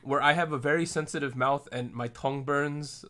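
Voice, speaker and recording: A man hosting a casual podcast chat, relaxed and joking as he steers the discussion. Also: medium pitch, normal speed, crowd noise. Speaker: podcast host.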